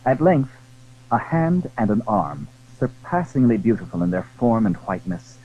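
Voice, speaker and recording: A man narrating a classic adventure story in a dramatic, scripted style, with a husky voice. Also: fairly low pitch, very animated, normal speed, phone-quality sound, slight background noise.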